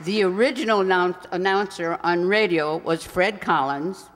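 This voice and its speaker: A woman announcer reading closing credits in a radio style, halting and stumbling over names. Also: lowish voice. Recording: reverberant hall, audience noise.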